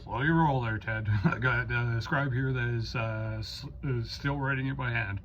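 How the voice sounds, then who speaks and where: A man's voice: mid-range voice, moderate pace. Man running a radio net, muffled noisy recording. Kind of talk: ham radio net roll call, procedural radio talk. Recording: muffled lo-fi audio with clear noise.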